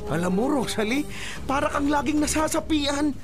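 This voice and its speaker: An irritated, high-pitched husband. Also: male voice, lively intonation, normal speed. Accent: Filipino. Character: irritated, dismissive husband fed up with wife. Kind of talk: Philippine radio drama acting. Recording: radio drama with music underneath.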